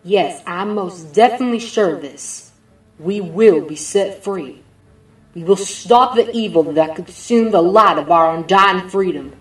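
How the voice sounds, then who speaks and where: A resolute angel leader voiced by a woman, grand and slow. The measured, dramatic cartoon-dub delivery sounds roomy, with faint music behind. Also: lowish voice, far from the mic, natural voice. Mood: determined. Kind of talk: comic dub acting.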